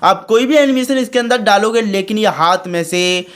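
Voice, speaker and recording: A man's voice: high-pitched, moderate pace, a bit bright. Man hosting a chummy tutorial. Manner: chummy, addresses viewers as friends and brothers. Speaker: tutorial channel host. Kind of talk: screen-recorded tutorial commentary.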